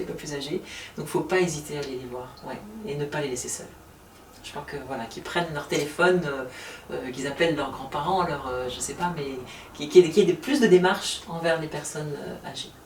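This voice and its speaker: A woman answers an interview quickly, peppering her speech with hesitations. She sounds a little distant from the microphone in a reverberant room. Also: low-pitched, darkish tone, a little hiss or hum. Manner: hesitant, many fillers, talks fast. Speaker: housing association representative. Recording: roomy sound from far off.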